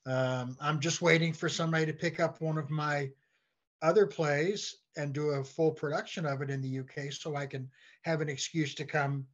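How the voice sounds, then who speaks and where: An older man chatting as an interview guest, halting and repeating words as he searches for his phrasing. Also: on the high side, fairly even intonation. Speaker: guest, playwright and comedy writer. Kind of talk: casual interview chat. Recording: dull, lo-fi voice track.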